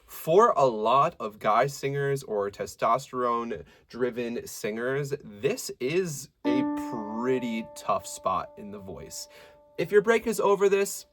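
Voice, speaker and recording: Bright, lively male vocal coach. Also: slow pace, faint music behind. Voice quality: medium-low speaking voice. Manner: lively, demonstrative teacher who breaks into sung examples. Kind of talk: vocal lesson explanation.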